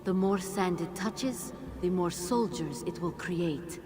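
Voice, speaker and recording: A woman's voice: on the low side, takes it slowly. An actress playing an ancient, regal jinn queen in a video game, speaking in a stately, solemn way. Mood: solemn, grave. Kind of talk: video game cutscene acting. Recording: prominent game music behind the voice. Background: cinematic music.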